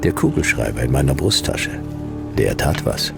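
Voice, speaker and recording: An actor playing a hardboiled private detective in a sci-fi radio drama, laconic and dry, with a gravelly voice. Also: male voice, extremely low pitch, big pitch swings, moderate pace, music in the background, exaggerated voice. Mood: laconic, deadpan. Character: hardboiled male private detective narrating his own sci-fi case. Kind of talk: radio drama narration and dialogue.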